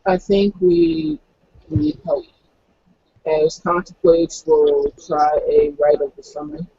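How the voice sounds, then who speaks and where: A man's voice: on the high side, big pitch swings, takes it slowly, gravelly, mellow, breathy edge. A man playing a tabletop role-playing game, casually and somewhat hesitantly describing his character's actions on a lo-fi microphone. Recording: close, dull lo-fi microphone.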